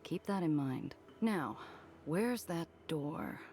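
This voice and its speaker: A woman's voice: sits low, animated, slow, darkish tone, faint music behind, exaggerated voice. An actress playing a tough, world-weary smuggler woman in a 1930s noir game, wry and clipped. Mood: stern, wry.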